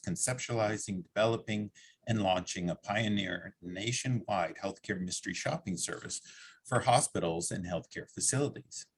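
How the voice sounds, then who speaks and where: Man, very low voice, husky, moderator, reading speaker introduction. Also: rough recording. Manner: steady list-like reading.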